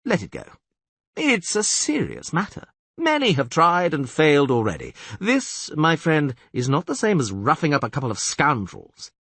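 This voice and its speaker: Guy putting on a loud pompous castellan, pitch jumping all over, husky dry sound. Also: huge pitch swings, normal speed, no room sound, exaggerated voice. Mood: stern. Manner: loud, theatrical, swoops high. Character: blustering middle-aged male town castellan, pompous.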